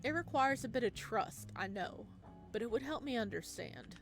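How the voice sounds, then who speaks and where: A woman voicing a calm, probing male therapist in a visual novel, measured and clinical, with a quietly ominous temper. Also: on the high side. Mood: calm, insistent. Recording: music playing behind the voice.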